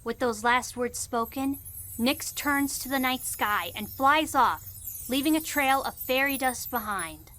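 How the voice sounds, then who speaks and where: A woman's voice: high-pitched, unhurried, sharp tone. A woman reading scripted storybook narration and credits for an audio drama. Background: music.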